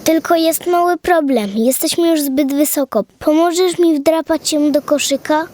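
A woman's voice: high-pitched, normal speed, darkish tone, pitched above the performer's own voice, close to an everyday voice. A woman playing a curious, friendly and bold little girl in a children's audio fairy tale, eager and full of wonder. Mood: cheeky, eager.

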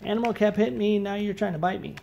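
A man's voice: high-pitched, steady tone, quick pace, creaky, warm tone. A man voicing an exasperated police officer puppet, whiny and scolding, in an improvised puppet show. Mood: wary, fed up. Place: living room with high ceiling. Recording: noisy home phone recording with clicks.

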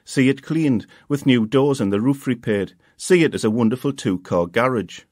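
A man's voice: medium pitch, normal speed, darkish tone, no room sound, clean studio sound, lightly acted. A man voicing a grinning, pushy estate agent coaxing a boy.

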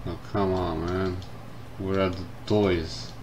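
A man commenting casually on a game as he plays, reading the game text aloud and thinking out loud. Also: deep voice, little pitch movement, slow pace, noisy background. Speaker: gameplay streamer. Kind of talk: casual let's-play commentary. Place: indoors at a computer. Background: game music.

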